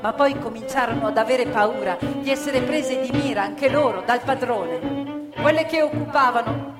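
An actress playing a proud, impassioned storyteller of women factory workers, emphatic and theatrical in a radio theatre piece. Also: female voice, high-pitched, normal speed, close to an everyday voice. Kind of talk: stage radio theatre narration in Italian. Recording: reverberant hall, loud live music behind. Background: loud live musical instruments.

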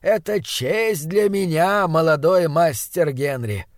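A man's voice: fairly high pitch, takes it slowly, dry recording, clean studio sound. A man voicing a stocky, sentimental old mentor in an audiobook, exclaiming emotionally with phrases. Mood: delighted, exclaiming. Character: stocky elderly Austrian scholar, effusive, sentimental, warm-hearted old mentor. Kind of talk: acted character in audiobook narration.

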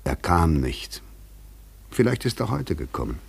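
A man's voice: deep voice, sing-song, unhurried, vocal fry, breathy edge, heavily acted. An actor playing a restless, weary man on the run, speaking with brooding, literary intensity in a German radio play. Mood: resigned. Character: restless, weary male fugitive, brooding and provocative.

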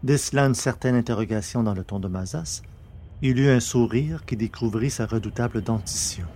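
A man narrating a science fiction story from a script in a measured, literary manner. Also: medium pitch, dark tone, slight background noise.

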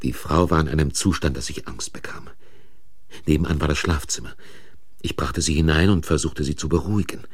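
A man acting and narrating in a German radio crime play as an uneasy, probing but soothing investigator, in short, deliberate phrases. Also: rumbling low, slightly rough. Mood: uneasy, recounting. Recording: noisy vintage radio drama recording.

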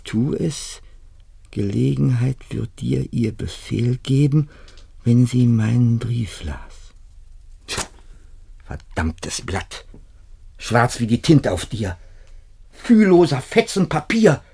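An actor playing a loyal servant, anguished and indignant, declaiming in a classical radio drama. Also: male voice, mid-range voice, lively intonation, slow pace, gravelly, long pauses, dark tone, husky, heavily acted. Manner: agonised, declamatory, swinging into whispers. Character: loyal male servant, anguished and indignant at his master's order.

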